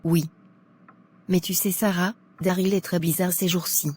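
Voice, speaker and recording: A woman playing a gentle young fiancée. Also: on the low side, flat delivery, a little slow, breathy edge.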